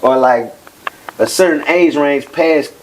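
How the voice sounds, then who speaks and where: A man's voice: a little high, slow pace, a little hiss or hum, low-quality recording. A man in his thirties from New Orleans, talking candidly and loosely as a guest in a casual interview. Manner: laid-back, candid, rambling with repeated "like". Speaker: guest rapper.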